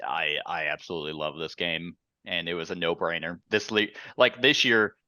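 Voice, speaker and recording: Animated deep-voiced man chatting. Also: normal speed, no echo, studio quality. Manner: animated, profane, rambling storyteller. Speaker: board game show host. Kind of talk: casual chat.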